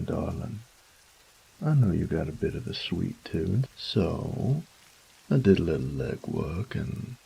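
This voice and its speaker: Casting: male actor as charming, teasing boyfriend for romantic audio roleplay; deep, very husky drawl, folksy pet names. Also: a little mellow, heavily acted, rough recording.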